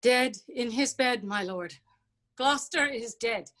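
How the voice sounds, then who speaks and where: A woman's voice: fairly high pitch, measured pace, fairly bright tone. A woman playing a scheming, outwardly dutiful English nobleman in classical verse. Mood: grave. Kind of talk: classical verse stage acting. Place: remote staged reading over video call.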